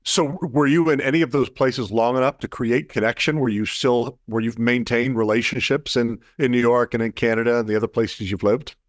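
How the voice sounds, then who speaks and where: Male interview host, mid-pitched, unhurried, winding questions, dry sound. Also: steady tone, dry recording. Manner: thoughtful, long winding questions. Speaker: podcast host.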